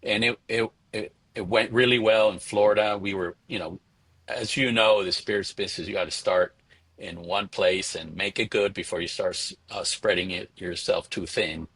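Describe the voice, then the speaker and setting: A middle-aged man from Venezuela answering interview questions conversationally, in a halting way, repeating words as he thinks. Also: on the low side, fairly even intonation, fairly bright tone, husky, no room sound. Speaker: interview guest, spirits entrepreneur.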